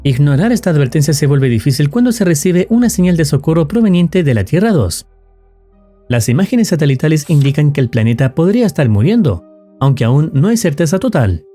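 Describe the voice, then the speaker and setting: A man's voice: a little high, very animated, a little fast, warm tone, faint music behind. A man reading scripted narration in the role of a storyteller explaining a tale.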